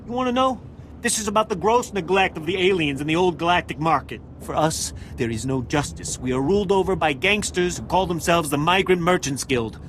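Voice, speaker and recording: Higher-voiced, impassioned alien man. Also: lively intonation, a little fast, a bit bright, slight background noise. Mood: indignant, desperate. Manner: impassioned, aggrieved, formal. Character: desperate, indignant male alien pleading for his mate. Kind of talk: video game dialogue acting.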